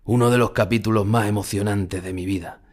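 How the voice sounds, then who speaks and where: Ageing retired matador, played by a male actor, low-pitched, wistful and brooding, for an audio drama monologue. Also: fairly even intonation, fairly quick, close to an everyday voice. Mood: nostalgic storytelling. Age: older. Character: ageing melancholy retired Spanish bullfighter musing on his past.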